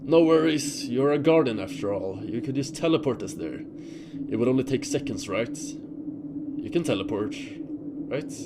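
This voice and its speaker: A man's voice: medium pitch, expressive, normal speed, lightly acted. A man playing a wounded but cheerfully joking winged hunter in a fantasy audio drama. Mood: reassuring. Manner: upbeat, jokey, reassuring. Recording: very noisy hobby recording.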